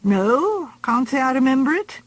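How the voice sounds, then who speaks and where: A woman's voice: sing-song, moderate pace, no room sound. A woman voicing a patient, dryly placating middle-aged husband. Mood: wry. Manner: dry, placating, lower-pitched.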